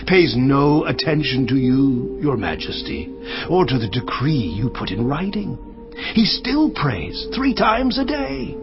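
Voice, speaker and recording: An actor playing a scheming, flattering royal official in a scripture audio drama, theatrical, obsequious and insinuating. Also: male voice, medium pitch, measured pace. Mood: accusing. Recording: muffled sound, faint music underneath.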